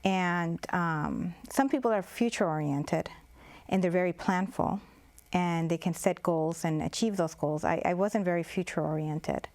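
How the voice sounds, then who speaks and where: A woman's voice: on the low side, a little slow, darkish tone. A woman from Mexico, a guest on a public-access TV interview, talking in a thoughtful, hesitant way with many fillers and restarts.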